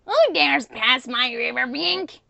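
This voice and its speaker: A woman's voice: sits high, expressive, slow, gravelly, bright, forceful tone, no echo, pitched above the performer's own voice, heavily acted. A woman voicing a proud, suspicious, menacing male tiger in a folktale.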